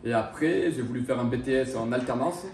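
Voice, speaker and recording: Chef guy in his 30s talking quick, hesitant, lots of restarts, roomy echo, mic kinda far off. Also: mid-range voice, creaky, a little mellow. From France. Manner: hesitant, many fillers and restarts. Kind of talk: interview answers.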